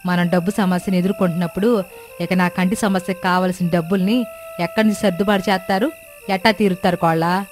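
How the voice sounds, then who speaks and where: A woman's voice: on the low side, fairly even intonation, fairly quick, lightly acted. Village mother-in-law for story cartoon woman actor: worried, grumbling, complaining, over background music. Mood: fretful complaining. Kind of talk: children's moral-story cartoon voice. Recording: music bed behind the voice.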